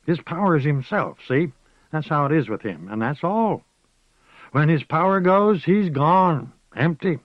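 A man's voice: fairly high pitch, animated, unhurried, dry recording, pitched above the performer's own voice. A man reading an audiobook, voicing an old rustic village witch woman who rambles folksily, calls people dearie and slips into chanting. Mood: chanting, mystical. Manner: rocking, chanting incantation. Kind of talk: audiobook character voice. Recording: dull, dry lo-fi recording.

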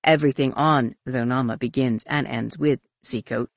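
A woman's voice: very deep voice, steady tone, moderate pace, no room sound, voice pushed down. A woman voicing a pompous, defensive male alien zealot in an audiobook, haughty and protesting. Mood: condescending.

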